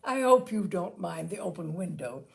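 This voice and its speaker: A woman voicing a brisk, gushing middle-aged hostess who chatters cheerfully in a storybook reading. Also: on the low side, expressive, gravelly.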